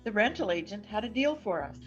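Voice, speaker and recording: A woman's voice: medium pitch, animated, fairly quick, lo-fi sound, faint music behind, natural voice. An older woman in an amateur audio play acting an anxious, watchful wife who cautions her husband. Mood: cheerful. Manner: urgent, cautioning.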